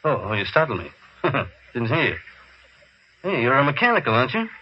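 A man's voice: medium pitch, very animated, fairly quick. An actor playing a harried, grumbling salesman in an old-time radio drama, with a blustery, muttering, conversational manner. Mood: curious, skeptical. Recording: dull, lo-fi old radio recording.

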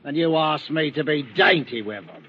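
An actor playing a coarse, sneering ship's mate, in an old radio drama. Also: male voice, fairly high pitch, lively intonation, normal speed, natural voice. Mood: menacing. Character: brutal cockney bosun, middle-aged man, sneering. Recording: muffled old radio recording with faint hiss.

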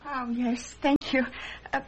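A woman's voice: high-pitched, little pitch movement, takes it slowly, breathy edge, lo-fi sound, exaggerated voice. A woman playing a timid, hungry young London street girl with a hesitant, deferential manner in a radio drama. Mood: shy, grateful. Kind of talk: old-time radio drama acting.

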